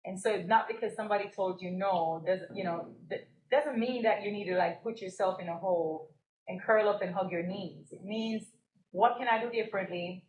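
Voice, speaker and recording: A woman's voice: medium pitch, moderate pace, echoey room, highs cut off. Jamaica-raised woman telling her story. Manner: storytelling, laughs often. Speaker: guest, business co-owner. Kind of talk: live podcast interview. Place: stage at a live event.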